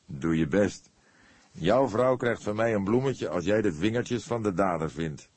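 A man voicing a gruff older police detective in an audiobook, dry and instructing. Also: lowish voice, expressive, a little slow, a little mellow, no echo.

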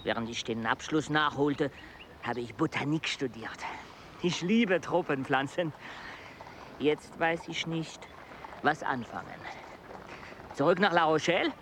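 An actor playing a restless young French botanist who talks bluntly and impatiently. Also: male voice, fairly high pitch, a little slow, long pauses, fairly bright tone, slight background noise. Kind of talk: radio play acting.

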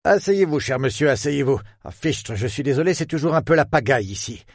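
A man's voice: medium pitch, lively intonation, brisk, no echo, clean studio sound, voice pushed up. A man voicing a theatrical, effusive elderly male historian who speaks with old-fashioned courtesy. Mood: jovial.

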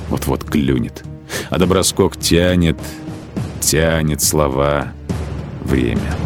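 A man narrating a literary radio drama in a measured, deliberate manner. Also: sits low. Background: music.

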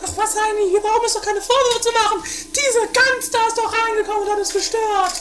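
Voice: extremely high pitch, fairly even intonation, fairly quick, over loud music. A performer playing a bossy, theatrical, quarrelsome woman, mocking and dramatic, in an improvised comedy radio play. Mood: scolding, indignant. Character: bossy, theatrical, quarrelsome female castle-dweller, shrill.

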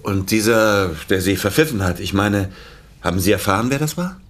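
An actor in a naturalistic radio drama playing a curious, easily astonished publishing man with a deep, husky voice and colloquial reactions. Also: animated, normal speed. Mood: curious, probing. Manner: colloquial, short exclamatory reactions. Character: curious male publishing-house visitor, easily astonished listener.

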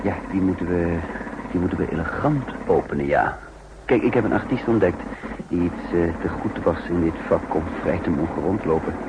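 An actor playing a shrewd, sardonic counter-espionage chief in a 1960s radio drama, musing dryly with thoughtful hums. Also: male voice, deep voice, normal speed, close to an everyday voice. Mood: dry, confiding. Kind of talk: 1960s Dutch radio drama acting with narration. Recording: dull, noisy 1960s radio recording.

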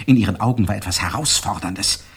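An actor playing a brooding, jealous man with a husky, breathy voice, formally recalling a night in a radio crime drama. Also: on the low side, fast talker, a bit bright, full character voice. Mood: agitated, accusing.

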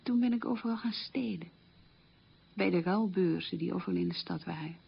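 Woman in her middle years looking back on wartime childhood, creaky voice, thoughtful radio monologue, muffled old recording. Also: lowish voice, exaggerated voice. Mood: reflective, candid. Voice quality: husky, creaky. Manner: halting, reflective, emphatic repetition. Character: reflective woman recalling childhood hunger in wartime. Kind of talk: radio play monologue, conversational reminiscence.

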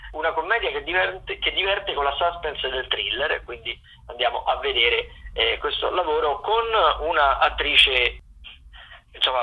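A man hosting a radio programme, running through upcoming shows unscripted, in a halting, improvised way with drawn-out words and self-corrections. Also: medium pitch. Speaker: radio host presenting theatre listings. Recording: tinny, phone-like radio sound.

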